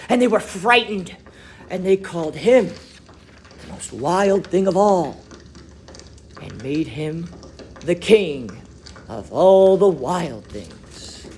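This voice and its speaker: A man reading a children's story aloud expressively, with deliberate, dramatic phrasing that swells and hushes. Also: sits high, slight background noise. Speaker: storybook reader for schoolchildren.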